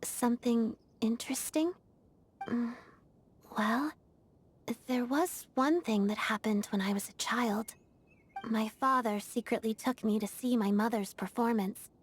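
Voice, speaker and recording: A woman voicing an earnest, disciplined young ballet dancer in a video game, speaking in a composed, formal and measured way. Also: fairly high pitch, a little hiss or hum, natural voice. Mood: fond, reminiscing. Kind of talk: video game character voice acting.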